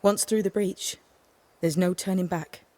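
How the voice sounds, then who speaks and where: Hardened survivor guide for a game , female actor: slightly low, terse, firm, wary. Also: fairly even intonation, a little slow, dark tone, lightly acted. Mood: stern warning. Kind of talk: dramatic video game acting.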